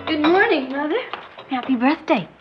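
An actress playing a lively, blunt sixteen-year-old daughter who gushes and teases in a mid-century radio drama. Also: female voice, sits high, normal speed, over loud music. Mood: bright, curious. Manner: gushing, blunt, teasing. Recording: muffled old radio recording.

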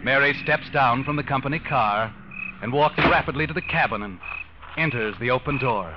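An American man acting as the ominous, taunting narrator of a 1940s radio crime thriller, telling the story deliberately to the culprit. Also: medium pitch, heavy background noise, close to an everyday voice. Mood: tense, ominous. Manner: taunting, deliberate, suspenseful second-person storytelling. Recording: dull old radio recording, loud music behind. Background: dramatic music.

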